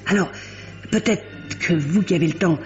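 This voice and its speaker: An actress playing a garrulous, working-class Parisian theatre usherette in a 1950s-style French radio mystery, talking colloquially and groping for words. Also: female voice, fairly low pitch, normal speed, close to an everyday voice. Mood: confiding. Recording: dull vintage radio recording with music.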